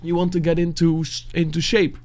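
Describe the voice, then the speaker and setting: A Dutch man, a native Dutch speaker, talking softly and haltingly, searching for words and sighing as he reflects after a song. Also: fairly high pitch, flat delivery. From Netherlands. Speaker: beatboxer reacting to music. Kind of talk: reflective reaction talk.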